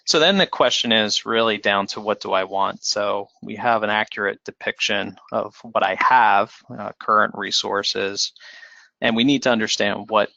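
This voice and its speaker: A low-voiced man with a gravelly edge, presenting a business webinar in a measured, explanatory way. His delivery is bright and forceful despite the many "um"s and restarts. The audio is dry and somewhat dull, like lo-fi webinar sound. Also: no room sound. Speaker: financial advisor presenting.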